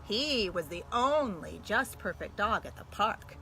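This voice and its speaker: An American woman, a school librarian, reading a picture book aloud to young children in an expressive way. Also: mid-range voice, measured pace. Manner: animated, expressive delivery aimed at young children. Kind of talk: read-aloud storytelling for children. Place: at home. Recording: noisy home video with background sounds.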